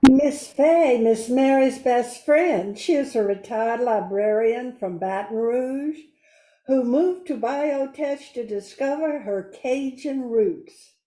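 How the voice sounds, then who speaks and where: Slow, mellow older woman in echoey room. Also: fairly high pitch. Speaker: amateur cast member. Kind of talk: scripted cast introduction.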